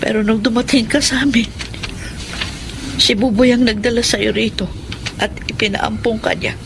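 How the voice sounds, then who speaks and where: A woman's voice: on the high side, steady tone, normal speed, noisy background, lightly acted. A woman playing an anxious middle-aged adoptive mother, earnest and dramatic, in a Tagalog radio drama. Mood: remorseful, solemn.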